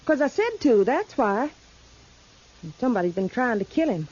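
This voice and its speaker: A woman's voice: fairly high pitch, very animated, normal speed, darkish tone. An actress playing a stubborn, sassy young mountain girl with an American Southern mountain accent in a 1950s radio western. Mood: blunt, determined. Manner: blunt, sassy, backwoods. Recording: muffled vintage radio recording.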